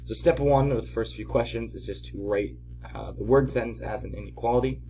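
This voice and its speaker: A man teaching in an informal tutorial style, patiently explaining step by step, his voice slightly hoarse from a cold. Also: medium pitch, a little fast, warm tone, faint music behind, low-quality recording. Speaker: math teacher. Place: at home, at a desk.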